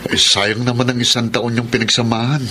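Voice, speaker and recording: A man's voice: medium pitch, little pitch movement, a little fast, bright, forceful tone, natural voice. A man playing an earnest young Filipino man in a radio romance drama. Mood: surprised, concerned. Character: earnest young man, suitor or concerned friend. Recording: noisy ambience behind voice.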